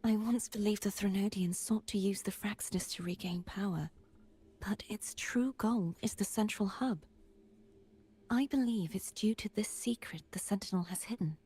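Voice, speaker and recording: A woman voicing a calm, mysterious young guide in a video game, soft and breathy, with a measured, solemn delivery. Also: medium pitch, natural voice. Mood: grave, measured. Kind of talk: voiced game dialogue.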